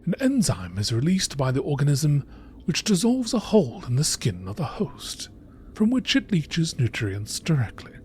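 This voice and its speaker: A man narrates in a nature documentary style, reading scientific entries in a measured, enunciated way. His voice sits a little high and moves in wide, expressive swings of pitch. A light layer of noise runs under the recording. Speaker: narrator reading game text aloud.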